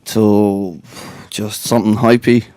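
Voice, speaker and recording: A man's voice: lowish voice, flat delivery, slow pace, warm tone, dry recording. A young man from Latvia, a guest rapper chatting in a radio studio, rambling and laid-back with lots of fillers. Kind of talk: casual interview chat.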